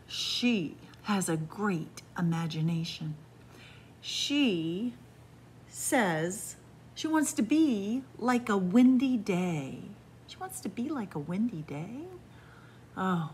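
Slow, lilting storytime librarian woman. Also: fairly low pitch, expressive, slight background noise. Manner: slow, sing-song storytelling with soft hushed asides. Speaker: children's librarian reading aloud. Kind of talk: picture-book read-aloud for young children. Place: library with bookshelves, indoors.

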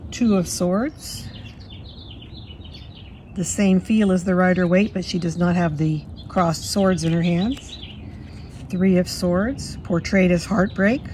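A woman with a slightly hoarse voice from a cold, chatting fondly through a walkthrough of a card deck at her table. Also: lowish voice, slow, pauses often, darkish tone, slight background noise. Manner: fond, chatty, admiring. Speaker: tarot deck reviewer. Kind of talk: casual walkthrough. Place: indoors at a table.

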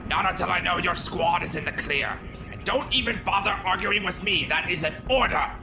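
A woman acts as a commanding female general in a space opera audio drama. She issues firm, authoritative orders with decisive delivery, over loud orchestral-style backing music. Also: on the low side, brisk, lightly acted. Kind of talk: sci-fi audio drama acting.

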